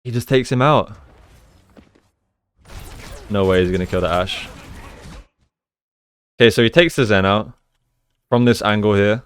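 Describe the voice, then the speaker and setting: Guy doing casual game analysis, kinda low smooth voice, explains stuff like a coach. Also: a little slow, pauses often. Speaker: esports coach and commentator. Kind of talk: casual gameplay analysis commentary.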